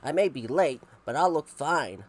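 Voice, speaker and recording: Woman putting on a panicked groom, shrill and loud, storybook reading. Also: sing-song, normal speed. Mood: grateful. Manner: shouting, panicked. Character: frantic young man late for his own wedding.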